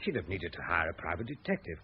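A muffled radio drama recording of an obsessive husband. His husky voice is very animated as he presses his questions with a researcher's pedantry and open suspicion. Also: low voice, fast talker, full character voice. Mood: intent, musing.

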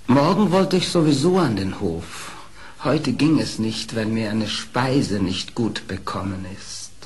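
A man's voice: on the low side, lively intonation, a little slow, a little mellow. An actor playing a sly male fox in a children's radio play, a wheedling trickster feigning innocence.

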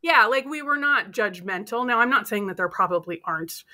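A woman in her thirties talks casually from a room at home, using an earbud mic on a video call. Her voice is bright and forward. She tells stories in a lively way and acts out the people she quotes. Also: mid-range voice, a little fast. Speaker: podcast co-host. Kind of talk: casual chat. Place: home room with bookshelves and poster. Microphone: earbud headset on video call.

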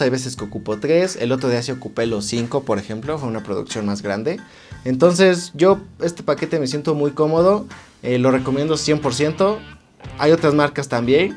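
A man's voice: medium pitch, darkish tone. A man with a Mexican accent giving a casual, conversational product review to viewers, explaining things informally as a tech vlog host.

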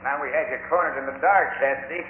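An old radio western villain played by a man, high-voiced, greedy and menacing. He drawls folksy threats through a tinny, hissy recording with heavy echo. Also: fairly even intonation, fast, lightly acted. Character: greedy, menacing outlaw boss gloating over cornered foes. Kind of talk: 1940s-50s radio western acting.

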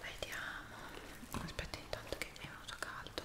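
A woman, speaking soothingly in a breathy whisper in an ASMR roleplay. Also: roomy sound. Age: 20s. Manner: soft, soothing, unhurried. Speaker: ASMR creator.

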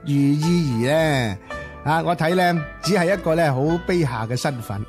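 A man with a Hong Kong accent co-hosting a conversational literary discussion, speaking deliberately with drawn-out phrase endings. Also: on the high side, expressive. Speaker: co-host of a book discussion segment. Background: music.

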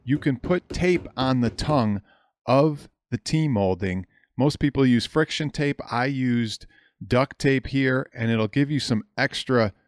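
A man's voice: mid-range voice, no echo, clean studio sound. A middle-aged American man narrating a DIY build as a hobbyist, in a plain, matter-of-fact explanatory voice-over. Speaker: hobbyist video host.

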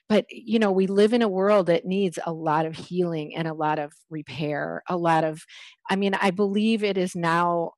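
A middle-aged woman hosting an interview over a video call with a headset, warm and affirming. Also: lowish voice, no room sound, studio quality. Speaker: host. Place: small village home indoors.

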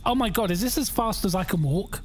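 A young man with a croaky voice, chatting and joking through a horror game as a gaming YouTuber. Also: sits high, animated, a little fast, a little hiss or hum. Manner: chatty, jokey, reacting aloud. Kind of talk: casual let's-play commentary.

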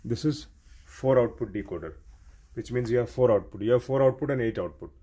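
Husky man giving step-by-step instructions. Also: medium pitch, fairly quick, dark tone. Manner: step-by-step, repetitive. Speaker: intercom technician giving training. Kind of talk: hands-on instructional explanation.

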